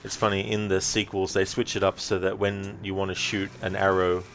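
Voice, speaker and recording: A man's voice: sits low, level tone, fairly quick. A man giving casual gameplay commentary as a live streamer. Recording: loud game noise behind voice.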